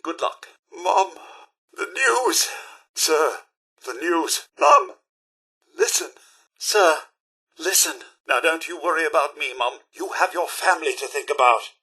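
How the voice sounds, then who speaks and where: A man's voice: fairly high pitch, very animated, slow, pushed and bright, husky, heavily acted. A man playing a fussy, loyal robot butler in a video game, with a British accent and a formal, theatrical, drily sarcastic way of speaking. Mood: earnest, polite. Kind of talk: scripted video game voice lines.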